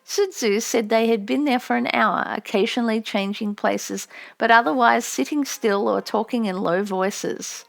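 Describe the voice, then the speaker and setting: A woman reading a memoir as an audiobook narrator, with measured storytelling delivery. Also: a little high, gravelly.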